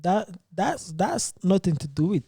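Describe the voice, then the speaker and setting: A man talking on a podcast in an earnest, halting way, circling back to restart his thoughts. His voice sits a little high for a man yet sounds mellow and relaxed. The recording is dry and close, with no room sound. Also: slightly rough, dry recording. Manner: earnest, hesitant, restarts phrases. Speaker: podcast host. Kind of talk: casual podcast debate.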